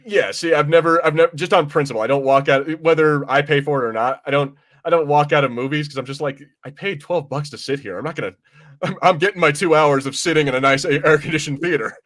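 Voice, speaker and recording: A man's voice: a little high, fast, fairly bright tone. A man hosting a podcast, chatting casually about films in an informal, slangy way with some mild swearing. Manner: informal, slangy, mildly profane. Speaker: podcast host. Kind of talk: casual film review chat.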